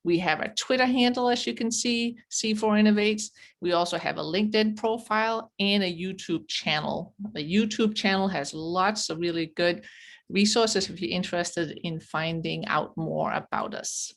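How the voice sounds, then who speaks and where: A woman from Denmark, hosting and presenting a webinar in a measured, welcoming way over a video call. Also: fairly low pitch. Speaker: webinar host, chief learning officer.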